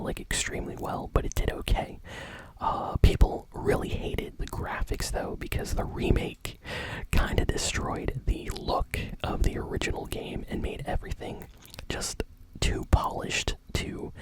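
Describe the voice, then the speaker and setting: A woman whispering softly in a casual ASMR style as she hosts a video game news update. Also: low-quality recording. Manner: soft, unvoiced whispering throughout.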